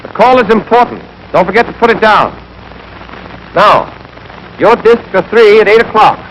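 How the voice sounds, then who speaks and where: A man's voice: high voice, lively intonation, moderate pace, fairly bright tone, dull audio. A young American man giving scripted, clipped, step-by-step marksmanship instruction as an army instructor in a 1940s training film. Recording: noisy 1940s film soundtrack.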